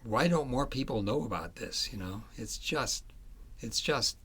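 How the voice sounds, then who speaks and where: A man speaking as an author in a conversational interview about his book, halting as he searches for words. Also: mid-range voice, expressive, fairly bright tone, breathy edge.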